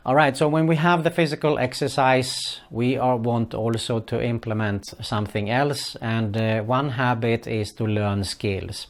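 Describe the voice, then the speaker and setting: A man's voice: medium pitch, steady tone, measured pace, few pauses. A man from Sweden, speaking English as a later language, giving casual advice to viewers with many hesitant fillers and non-native phrasing. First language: Swedish. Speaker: online marketing vlogger. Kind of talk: casual advice talk to camera.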